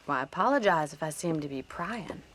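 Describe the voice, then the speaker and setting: A woman playing a spirited, plainspoken young frontier ranch woman, wry and gently teasing, in a game cutscene. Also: sits low, animated, a little fast. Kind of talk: video game cutscene acting.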